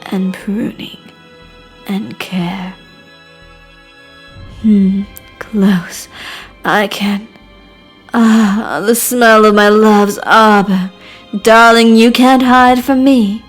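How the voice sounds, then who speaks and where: A woman voicing an obsessive, lovesick madman, theatrical and crooning, with breathy whispers, in a game character reel. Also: medium pitch. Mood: predatory, seductive. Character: obsessive lovesick madman, tender then menacing suitor.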